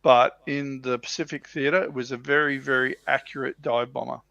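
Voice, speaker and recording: A man's voice: mid-range voice, flat delivery, moderate pace, gravelly, bright, forceful tone, dry recording. A man chatting casually as a livestream co-host in a rambling, enthusiastic way, on a lo-fi microphone. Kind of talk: casual hobby chat. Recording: dry, dull lo-fi microphone.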